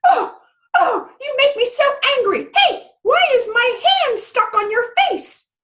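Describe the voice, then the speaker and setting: A tiny squeaky storybook fairy. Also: female voice, slow pace, fairly bright tone, heavily acted. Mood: indignant, panicky. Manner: high chirpy voice, fussy and indignant. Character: tiny squeaky female fairy, greedy then furious.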